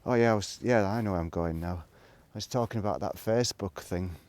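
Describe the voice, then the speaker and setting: A man's voice: sits low, a little fast, darkish tone, no echo. A middle-aged man, rambling reflectively in a casual solo monologue, hesitant and thinking aloud as he goes. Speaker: solo video blogger.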